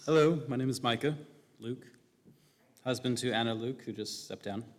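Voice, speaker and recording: A man from Hawaii giving an earnest, measured faith testimony in church, with occasional fillers. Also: mid-range voice, a little hiss or hum. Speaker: new church member candidate. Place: church sanctuary. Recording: long reverb of a large hall.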